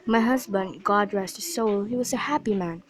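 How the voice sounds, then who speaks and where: A woman's voice: mid-range voice, normal speed, a crowd in the background, close to an everyday voice. A woman playing a fond, bawdy old nurse in an amateur radio drama, chatty and rambling as she repeats herself. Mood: reminiscing. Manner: chatty, repetitive storytelling.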